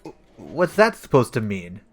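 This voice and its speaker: An actor playing a childish, self-important, slow-witted police detective in a comedic audio drama, rambling and hesitant. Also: male voice, on the low side, huge pitch swings. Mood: defensive.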